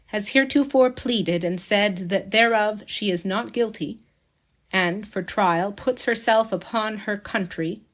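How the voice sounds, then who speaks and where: An actress playing a formal, measured court clerk reading out a murder indictment in a courtroom audio drama. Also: female voice, mid-range voice, lightly acted.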